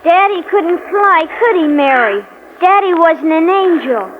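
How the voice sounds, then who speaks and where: A woman acting a frightened little boy in a vintage radio play. The voice is very high, innocent and plaintive, on a tinny, noisy old recording. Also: expressive, unhurried. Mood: scared, tearful. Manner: plaintive, pleading. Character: scared, innocent small boy.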